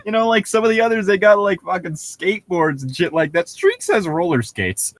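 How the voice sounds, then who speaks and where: A man casually co-hosting a comedic fan fiction reading, irreverent and amused, mixing mock dramatic reading with excitable banter. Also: sits high, brisk, slight background noise. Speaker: co-host reading fan fiction aloud with commentary.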